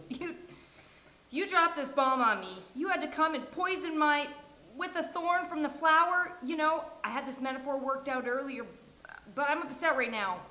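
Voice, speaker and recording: A woman playing an upset, sharp-tongued bride, stung and defiant, in a live sitcom table read. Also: high voice, moderate pace. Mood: upset, indignant. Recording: roomy live venue sound.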